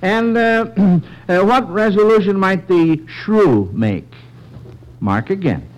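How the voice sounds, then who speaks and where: A man's voice: sits high, lively intonation, slow pace, darkish tone, poor audio. A man hosting a 1940s-style radio quiz for children, hesitant, with frequent murmured affirmations. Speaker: quiz show host.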